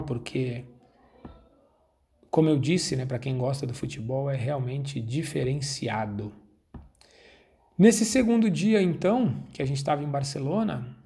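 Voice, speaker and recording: Guy telling travel stories on his channel, gravelly, easygoing, lots of tag questions. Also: a little high. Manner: relaxed, chatty, with frequent tag questions. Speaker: host of a Portuguese-learning channel. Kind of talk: casual travel storytelling to viewers.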